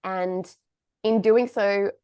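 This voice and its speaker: A woman's voice: medium pitch, fairly even intonation, very slow, warm tone, clean tone, no room sound, clean studio sound. Chatty English-born woman podcast host. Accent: Australian-tinged English. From England. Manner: candid, chatty, swears freely. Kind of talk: casual chat.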